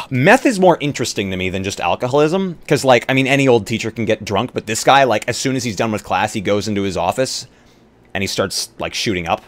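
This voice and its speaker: Low-pitched, lively male streamer. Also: a little fast. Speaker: streamer and roleplay player. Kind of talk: casual live chat while building a game character.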